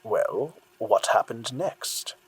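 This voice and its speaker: Male therapist, acted by a man, patronising, persistent, coaxing, husky, lively intonation, dry close sound, audio drama. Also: mid-range voice, no room sound, full character voice. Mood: probing. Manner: condescending, measured, coaxing. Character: patronising, persistent therapist challenging a patient's delusions.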